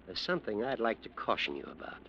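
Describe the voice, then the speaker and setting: An actor playing a stern, fatherly trial judge in 1940s radio drama, speaking formally and deliberately. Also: on the low side, big pitch swings, vocal fry, pushed and bright, muffled recording, slight background noise, exaggerated voice. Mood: concerned.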